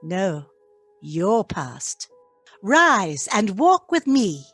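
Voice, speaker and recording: A woman's voice: medium pitch, sing-song, very slow, a bit bright, husky, no echo, faint music behind, full character voice. An actress playing a firm, otherworldly female spirit guide in a radio play, stately and commanding.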